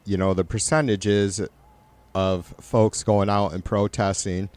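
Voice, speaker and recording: A middle-aged man with a nasal, raspy voice, hosting a livestream and chatting loosely with viewers, rambling and swearing. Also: fairly low pitch, level tone, takes it slowly, darkish tone. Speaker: livestream host. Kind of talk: casual chat with live viewers.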